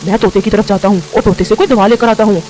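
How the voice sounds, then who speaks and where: A woman's voice: mid-range voice, steady tone, fast talker, dark tone, soft background music, pitched below the performer's own voice, lightly acted. A woman playing a worried, reproachful father crow in a melodramatic cartoon story. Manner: reproachful, earnest.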